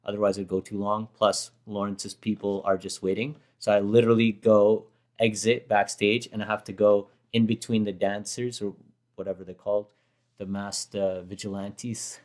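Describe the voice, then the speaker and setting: Hoarse man telling a rambling story. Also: lowish voice, level tone, moderate pace, darkish tone. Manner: rambling, self-correcting storyteller. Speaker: rapper reacting to his own performance. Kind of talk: casual chat.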